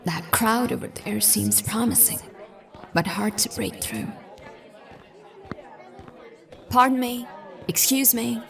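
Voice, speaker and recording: A woman's voice: fairly high pitch, a little slow, gravelly, crowd noise. A woman playing a polite, determined young detective in a sci-fi audio drama. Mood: uneasy, focused.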